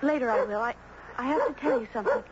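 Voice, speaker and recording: A woman's voice: fairly high pitch, big pitch swings, quick pace. A woman playing an earnest, insistent young girl with a blunt, childlike manner in a 1970s radio drama. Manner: blunt, thoughtful, childlike directness. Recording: dull, noisy old radio broadcast.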